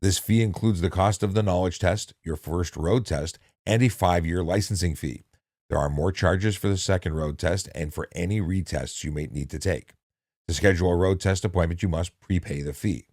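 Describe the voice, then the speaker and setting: Very deep-voiced man reading instructions. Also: a little mellow, no echo, clean studio sound. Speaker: narrator reading a handbook with commentary. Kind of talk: measured instructional reading with casual asides.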